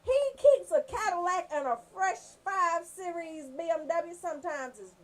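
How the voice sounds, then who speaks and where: A woman with a Southern Atlanta accent hosting comedic radio banter, telling stories in an animated, emphatic way. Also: very high voice, moderate pace. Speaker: radio show host.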